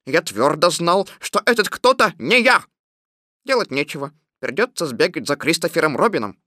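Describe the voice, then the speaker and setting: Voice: medium pitch, expressive, normal speed, bright, forceful tone, dry recording, studio quality. An actor playing a fussy rabbit in a children's audio tale, polite but stern, with a prim, lecturing manner. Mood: stern, reproachful.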